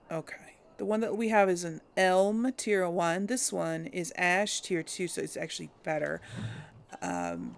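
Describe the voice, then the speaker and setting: A woman chats through a role-playing game, thinking aloud and laughing often. She speaks slowly in a somewhat low, gravelly voice. Faint noise sits underneath. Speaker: game role-play host. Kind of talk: casual let's-play commentary.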